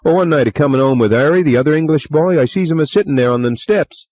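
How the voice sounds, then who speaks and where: Guy putting on a nervous young cockney bellboy, way higher than his own voice, rough dialect, muffled. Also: quick pace, darkish tone, no echo, lightly acted. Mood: agitated. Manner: rough dialect speech, deferential, many "sir"s.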